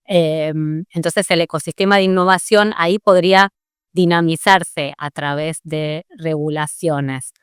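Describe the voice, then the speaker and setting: A woman's voice: deep voice, steady tone, unhurried, clear, resonant tone, no room sound, clean studio sound. An Argentine woman hosting a podcast interview, thinking aloud in a conversational way with some restarts. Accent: Argentine Spanish. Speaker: podcast host.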